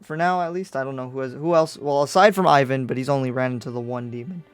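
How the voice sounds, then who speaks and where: A man playing a curious, easygoing demon slayer in an improvised anime roleplay, with a halting, attentive way of talking. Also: medium pitch, clear, resonant tone, no echo, lightly acted. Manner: halting, attentive, murmuring acknowledgements. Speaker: roleplay player. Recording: background music under the voice.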